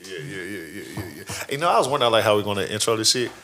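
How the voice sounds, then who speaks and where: A man co-hosting a podcast, telling stories in animated, slang-heavy casual banter. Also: on the low side, racing. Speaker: podcast co-host.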